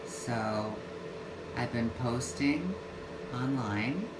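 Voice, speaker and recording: A transgender woman in her fifties chatting on a home livestream, halting often with fillers and restarts. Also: a bass voice, animated. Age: 50s. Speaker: vlogger. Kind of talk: casual livestream talk. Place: home yoga room. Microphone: phone or webcam livestream. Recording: echoey room, loud air conditioning hum.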